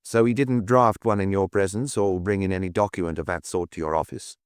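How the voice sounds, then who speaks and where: Man as a calm, low-voiced male detective inspector. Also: clean tone, dry recording, studio quality, lightly acted. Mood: measured, persistent. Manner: measured, probing, courteous. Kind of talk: audiobook character dialogue.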